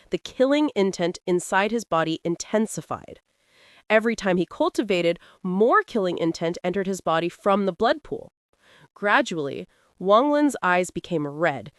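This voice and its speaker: Female audiobook narrator for epic fantasy, lively intonation, dramatic delivery, dry studio-style sound. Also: lowish voice, no room sound.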